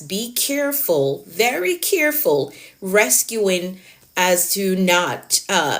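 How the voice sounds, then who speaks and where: A woman coach talking on a live stream, encouraging and emphatic, repeating her key phrases and answering viewers' comments. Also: on the low side. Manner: encouraging, motivational. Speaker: productivity coach.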